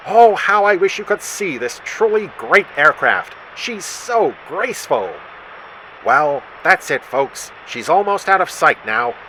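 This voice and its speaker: Man as bright, excitable airborne radio reporter. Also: on the high side, slight background noise, rough recording. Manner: gushing, breathless enthusiasm, announcer projection. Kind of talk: live radio commentary in audio drama.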